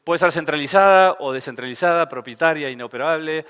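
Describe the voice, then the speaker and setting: A man's voice: fairly high pitch, brisk, fairly bright tone, clear, resonant tone. A man with an Argentine accent giving a casual, joking conference talk on stage. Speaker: conference speaker. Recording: reverberant event hall.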